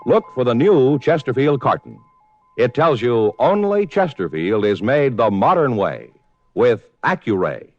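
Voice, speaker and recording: An American man delivering a polished, emphatic sales pitch as a commercial announcer on 1950s radio. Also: big pitch swings, unhurried, a little mellow, faint music behind. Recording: slightly dull, dry vintage radio recording.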